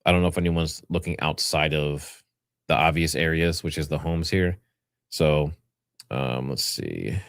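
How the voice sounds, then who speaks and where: Low-voiced man hosting a livestream. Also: level tone, moderate pace, clean tone, dry recording, clean studio sound. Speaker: livestream host. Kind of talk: casual livestream commentary, partly reading notes aloud.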